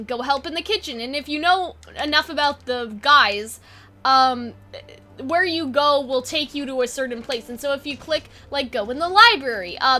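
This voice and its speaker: Female online video host, very high bright voice, loose rambling delivery, unscripted and informal. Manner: rambling, informal, occasionally sweary. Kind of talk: casual unscripted brainstorming chat.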